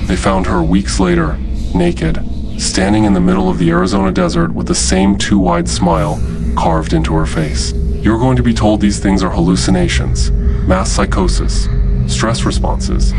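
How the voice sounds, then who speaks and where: A low-voiced, solemn military announcer played by a man delivers an analog horror emergency warning. His delivery is deliberate and ominous, with pitch that swings widely from phrase to phrase. Music plays clearly behind the voice. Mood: grim, ominous. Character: grave male military emergency announcer warning of uncanny threat. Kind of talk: scripted horror narration as emergency broadcast.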